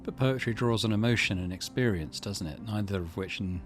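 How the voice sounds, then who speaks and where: A man giving let's play commentary and reading game text aloud, thinking out loud as he goes. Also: fairly low pitch, fairly quick. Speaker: gaming channel host. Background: game music.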